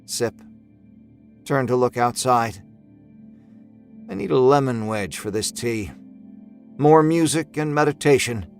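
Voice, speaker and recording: A man narrating a first-person horror story in a measured, brooding, expressive way. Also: medium pitch. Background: music score.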